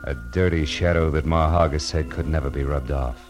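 A man's voice: a bass voice, moderate pace, music in the background. A man playing a world-weary, wry private detective in old-time radio noir. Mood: weary, brooding. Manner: wry, hard-boiled.

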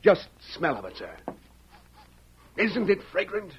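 A man's voice: sits high, very animated, slow, slightly rough, full character voice. An actor playing an over-polite, ingratiating traveling salesman in an old-time radio western. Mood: ingratiating, chirpy. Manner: effusive, ingratiating, flowery politeness. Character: smooth-talking, over-polite traveling soap salesman, secretly outlaw. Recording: dull, noisy vintage radio recording.

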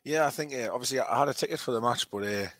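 A man's voice: medium pitch, very fast, a bit bright, no echo. Blunt Geordie man, frank podcast chat. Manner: blunt, frank, coarse-spoken. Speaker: podcast co-host. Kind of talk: casual chat.

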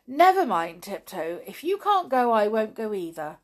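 A woman's voice: mid-range voice, big pitch swings, normal speed, close to an everyday voice. A woman voicing a kindly, anxious male sailor doll in a children's story, earnest and coaxing in manner. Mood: comforting.

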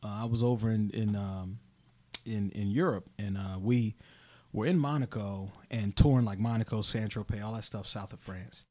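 A man's voice: fairly low pitch, normal speed. A young man hosting a casual chat, telling stories and acting out the people in them with different voices. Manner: animated, acts out quotes in different voices. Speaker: podcast host.

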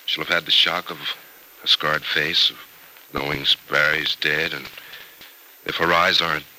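A man's voice: rumbling low, level tone, a little slow, pushed and bright, exaggerated voice. An actor in an old-time radio soap opera playing a sober, caring American man, thoughtful and hesitant yet resolute about helping his friend. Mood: somber. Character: grave, caring male friend, resolute and self-sacrificing. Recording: noisy vintage radio drama recording.

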